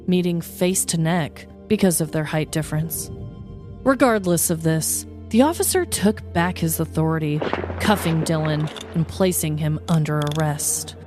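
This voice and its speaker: A woman's voice: on the low side, lively intonation, normal speed, darkish tone, soft background music. A woman podcast host reading a scripted, comedic true-crime story as narrator.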